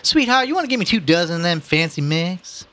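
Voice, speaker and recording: A man's voice: sits high, sing-song, fairly quick, pushed and bright, pitched above the performer's own voice, heavily acted. A man voicing a weary, protective older working-class mother from New Orleans, plaintive and folksy, for a storybook reading. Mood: weary complaining.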